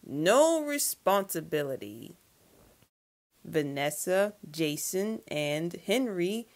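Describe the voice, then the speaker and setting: A woman's voice: mid-range voice, a bit bright, exaggerated voice. A woman voicing a brash, hot-tempered young male clan leader in a cartoon character demo, boastful and blustering.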